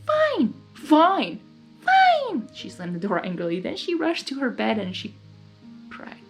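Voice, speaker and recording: A woman reading fan fiction aloud, mixing expressive story narration with casual spoken reactions. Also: mid-range voice, moderate pace, creaky. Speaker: fan fiction reader and commentator. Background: faint music.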